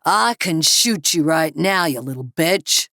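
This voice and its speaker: A woman's voice: sits low, lively intonation, normal speed, dry recording, clean studio sound. Woman voicing a menacing, snarling armed thug. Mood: cruel, threatening. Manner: barking, threatening commands. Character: menacing, foul-mouthed armed male thug, snarling orders.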